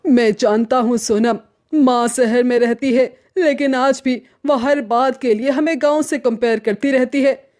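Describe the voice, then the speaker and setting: A woman plays a young husband and son in a cartoon tale. The voice is high for the part. The delivery is exasperated and protective, complaining as it reasons. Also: fairly even intonation, unhurried, a little mellow. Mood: sympathetic. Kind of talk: cartoon story voice acting.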